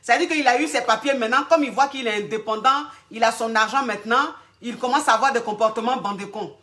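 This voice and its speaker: High, bright-voiced woman coach. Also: fast talker. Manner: blunt, motherly, calls listeners "my darling". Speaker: relationship coach answering callers. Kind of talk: live call-in advice.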